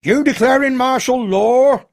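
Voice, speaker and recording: Give me a man doing a rowdy red-faced village drinker, loud and accusing, pitched high, for a storybook. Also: unhurried. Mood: belligerent. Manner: belligerent, shouting.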